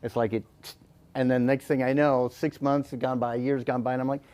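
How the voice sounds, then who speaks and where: A talk radio host keeping the conversation going in an easy, chatty way. The host agrees readily, laughs often, and speaks at an ordinary middle pitch. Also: little pitch movement, brisk, a little mellow. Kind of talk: casual radio chat.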